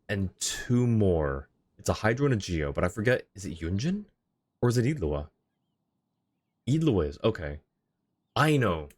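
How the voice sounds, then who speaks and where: A man's voice: lowish voice, darkish tone. A man doing casual live-stream game commentary, rambling and thinking aloud as he chats with viewers. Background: faint game music.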